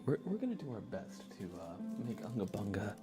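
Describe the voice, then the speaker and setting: A man doing a soft-spoken ASMR live stream, chatting to viewers in a low-key way and now and then slipping into whispers. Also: wildly swooping pitch, brisk. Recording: loud game music behind the voice. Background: video game music.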